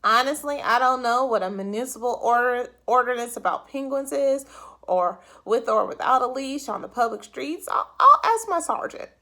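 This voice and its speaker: A woman's voice: a little high, expressive, normal speed, pushed and bright. Woman doing a flustered, stammering policeman. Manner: stammering, exclaiming. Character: puzzled bumbling male policeman.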